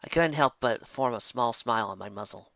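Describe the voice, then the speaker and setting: Story reader: bright tone, dry, dull lo-fi home recording. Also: mid-range voice, fairly quick, gravelly, no room sound. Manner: plain, steady reading with small stumbles. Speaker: fan fiction reader. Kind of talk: first-person story reading.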